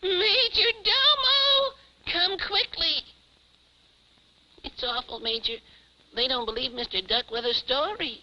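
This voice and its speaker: Boyish alien puppet, high-pitched, piercingly bright, exclamatory, caring, bossy, vintage TV puppet show. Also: takes it slowly, pauses often, exaggerated voice. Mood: urgent, scolding. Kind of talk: 1950s television puppet show acting. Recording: muffled 1950s television soundtrack, faint hiss.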